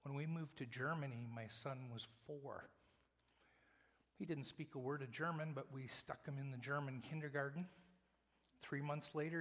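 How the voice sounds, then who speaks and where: A male preacher giving a sermon, moving between loud emphatic lines and hushed whispers. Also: mid-range voice. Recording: long reverb of a large hall.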